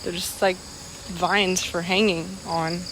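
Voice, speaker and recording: A woman's voice: lowish voice, flat delivery, slow. A woman chatting casually outdoors on a trail, rambling and filling her sentences with "like". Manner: rambling, filler-heavy, repeats "like". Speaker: vlogger. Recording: noisy outdoor audio with insect ambience. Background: insects and nature ambience.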